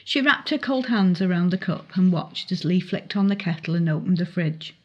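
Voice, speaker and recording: Husky woman narrating a novel. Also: fairly low pitch, animated, normal speed, highs cut off. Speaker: author reading own novel excerpt. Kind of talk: dramatic audiobook narration.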